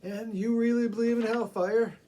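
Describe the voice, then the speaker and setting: A man voicing a sly hotel diner in a storybook tale, clearing his throat and asking pointed, emphatic questions. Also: very high-pitched, steady tone, moderate pace, warm tone, slight background noise, voice pushed up.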